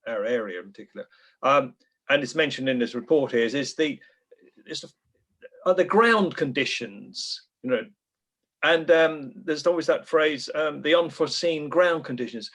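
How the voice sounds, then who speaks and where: A British man putting an unscripted question as a committee member, with halting, tentative phrasing. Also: a little high, a bit bright. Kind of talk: formal meeting question, unscripted.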